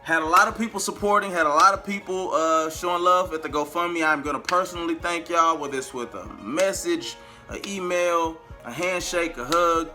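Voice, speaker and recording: Bright-voiced American man vlogging over music. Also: fairly high pitch, moderate pace. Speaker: vlogger and amateur singer. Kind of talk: casual vlog storytelling in slangy speech.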